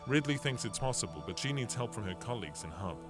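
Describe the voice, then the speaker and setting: A man voicing a young male ship captain who narrates a game's story in an earnest, steady way, with game music behind. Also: medium pitch, fast, close to an everyday voice.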